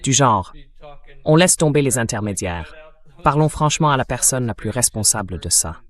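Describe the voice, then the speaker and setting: A synthetic male voice of medium pitch comments on politics as a show guest. It has the sound of an AI-dubbed discussion. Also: lively intonation, normal speed.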